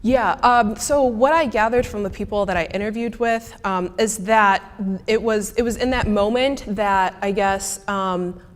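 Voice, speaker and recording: A woman's voice: medium pitch, unhurried, few pauses. Young Midwestern woman in a hall. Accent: Midwestern American. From American Midwest. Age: young adult. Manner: casual, many fillers and restarts. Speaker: student presenter. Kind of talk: answering audience questions after a talk. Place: lecture hall. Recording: reverberant lecture hall.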